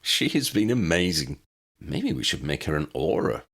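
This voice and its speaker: A man's voice: very deep voice, very animated, moderate pace, vocal fry, fairly bright tone, breathy edge, pitched below the performer's own voice, full character voice. A man voicing a confident, friendly adult wizard lawman in an audiobook, plain-spoken and dryly humorous. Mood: reassuring.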